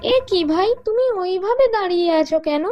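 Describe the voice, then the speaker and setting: A performer voicing a coy, teasing young married village woman in a comedy cartoon, with a squeaky pitch-shifted voice. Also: animated, a little fast, muffled recording. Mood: coaxing. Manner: sweetly coaxing, teasing. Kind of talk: Bengali comedy cartoon dialogue. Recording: music under the voice.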